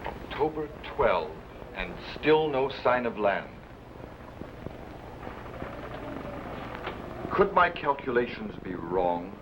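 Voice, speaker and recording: A man's voice: mid-range voice, sing-song, a little slow. A man playing a weary, earnest middle-aged sea admiral in a formal, declamatory old-time radio adventure style. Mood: brooding. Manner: formal, declamatory archaic phrasing. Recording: dull, noisy old radio recording.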